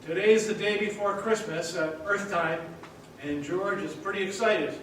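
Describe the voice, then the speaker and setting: Solemn senior angel, male actor, measured storyteller, high-set voice, distant in an echoey hall, radio stage play. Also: fairly bright tone. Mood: solemn, narrating. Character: solemn senior angel recounting a man's life. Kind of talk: old-time radio play acting on stage. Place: theater hall, distant mic. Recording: distant echoey stage recording, faint noise.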